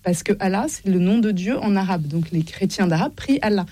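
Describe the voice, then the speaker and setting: A woman's voice: mid-range voice, lively intonation, a little fast, dark tone, no room sound. A woman talking as a guest, reflecting thoughtfully and earnestly. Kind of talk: conversational reflection.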